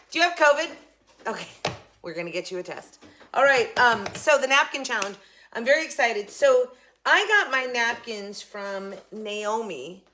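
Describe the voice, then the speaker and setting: A woman hosting a casual craft vlog, a little hoarse, chatting excitedly as she opens a package. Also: on the high side, a little hiss or hum. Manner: chatty, excitable, jokes with a pet. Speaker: craft channel host.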